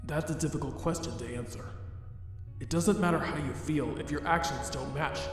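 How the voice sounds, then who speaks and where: A man's voice: on the high side, close to an everyday voice. Man voicing a grave remorseful monster, cavernous reverb. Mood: regretful. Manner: formal, deliberate, grave wording. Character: remorseful, self-loathing adult male scientist monster, father-like. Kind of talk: dramatic fan comic dub. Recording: very echoey long reverb under music.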